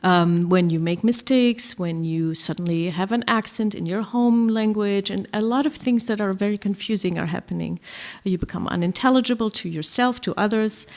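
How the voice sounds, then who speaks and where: A middle-aged woman from Germany, a poet answering on a panel in a thoughtful, halting way with many fillers. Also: medium pitch. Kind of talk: live literary conversation.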